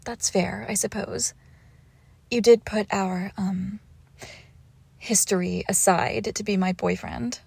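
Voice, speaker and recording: Breathy, teasing vampire girlfriend acted by a woman. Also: mid-range voice, steady tone, a little mellow, no echo, exaggerated voice. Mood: playful, haughty. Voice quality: breathy, often dropping into whispers. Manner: teasing, haughty, slow and deliberate. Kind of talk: intimate romantic audio roleplay, often whispered.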